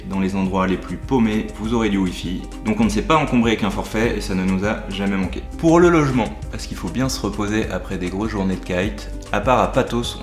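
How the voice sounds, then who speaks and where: Man, travel vlog voice-over, chatty and upbeat, low-pitched, echoey room. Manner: upbeat, chatty advice-giving. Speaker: travel vlogger. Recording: roomy sound over background music.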